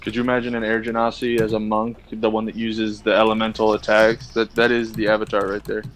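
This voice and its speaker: A man's voice: lowish voice, completely flat delivery, slight background noise, low-quality recording. A man in his twenties chatting and joking with friends before a tabletop game stream. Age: 20s. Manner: joking, quick back-and-forth banter. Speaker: dungeon master.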